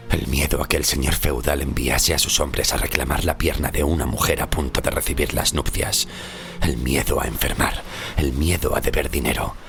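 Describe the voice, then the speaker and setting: A man's voice: a bit bright, a little hiss or hum, exaggerated voice. An actor playing a haunted, fearful poor sailor of the sixteenth century, telling his story as a solemn, brooding horror monologue in audio fiction. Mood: bitter, dread. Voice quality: very deep, gravelly. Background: music.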